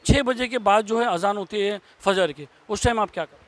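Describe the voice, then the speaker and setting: A man's voice: high-pitched, fairly quick. A man working as a news reporter, asking informal questions in an interview.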